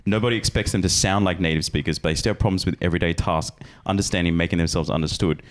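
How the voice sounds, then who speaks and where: Man, very deep voice, quick reader, casual podcast co-host. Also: steady tone. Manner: brisk, reads straight through. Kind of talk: reading a forum post aloud, casual chat.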